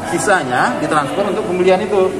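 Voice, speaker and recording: Fast-talking prosecutor in an echoey hall. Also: male voice, high-pitched, distant mic, over a noisy crowd. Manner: halting, restarts mid-word, explaining patiently. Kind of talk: press doorstep interview. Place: indoor courtroom hallway. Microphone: reporters' phones held close. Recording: echoey room, loud background noise.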